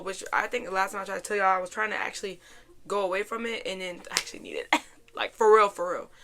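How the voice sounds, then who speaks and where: A young woman hosting a casual, chatty life-update podcast in an informal, slangy way, her voice a little raspy and hoarse. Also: medium pitch, bright, forceful tone. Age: young adult. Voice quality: slightly raspy, worn voice. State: hoarse after a weekend out. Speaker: podcast host.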